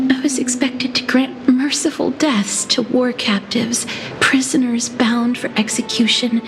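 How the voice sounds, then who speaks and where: A woman voicing a gentle, sorrowful young mortician and former holy maiden in a story video game, speaking softly and deliberately. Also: a little high. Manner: soft, deliberate, wistful, with sighs. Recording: music behind the voice.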